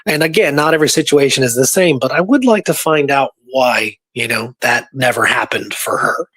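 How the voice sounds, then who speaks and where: A man's voice: medium pitch, normal speed, a bit bright, husky. A man hosting a casual history talk, partly reading from notes, with an informal, rambling way of thinking aloud. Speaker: show host presenting researched history.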